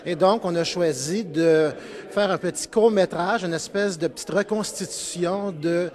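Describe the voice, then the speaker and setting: A man with a Quebec French accent and a fairly high voice gives an informal interview. He speaks as a project spokesman and often searches for his words. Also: unhurried, a little mellow.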